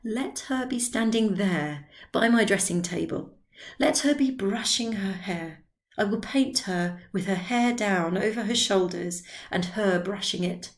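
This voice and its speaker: A woman voicing an excitable, drunken old man who talks eagerly and loudly in a read-aloud story. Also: unhurried, lightly acted. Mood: excited. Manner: eager, pleading, high and loud.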